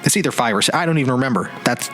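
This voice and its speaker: Guy doing a casual podcast monologue, really bright forward voice, kinda rambly and thoughtful, music behind him. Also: medium pitch, lively intonation, rapid-fire. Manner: reflective, rambling, searching for words. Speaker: podcast host. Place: home office indoors.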